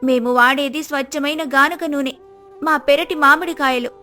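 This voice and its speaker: A woman's voice: high-pitched, fairly even intonation, moderate pace, pushed and bright, soft background music. A woman voicing a brave, outspoken young daughter-in-law in a moral story, with a firm, defiant manner.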